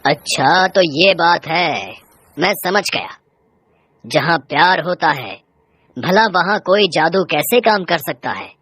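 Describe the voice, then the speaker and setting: A woman's voice: on the low side, animated, slow pace, a bit bright, husky, dry recording, exaggerated voice. A woman voicing a shrewd, commanding male village sorcerer in a horror tale, with declamatory delivery. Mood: knowing.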